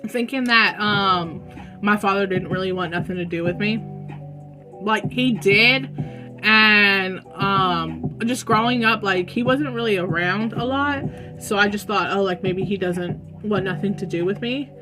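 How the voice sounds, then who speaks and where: A young woman with a loud voice answering viewer questions in a casual vlog, chatty and saying "like" a lot. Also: medium pitch, unhurried, music in the background. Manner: chatty, says "like" often. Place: room at home with dogs.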